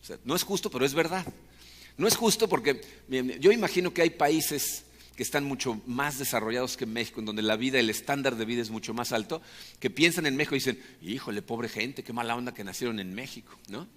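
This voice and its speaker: A man's voice: medium pitch, a little fast, a bit bright. A man with a Mexican accent preaching a conversational, explanatory sermon, often checking for agreement with short tag questions. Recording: long hall reverb.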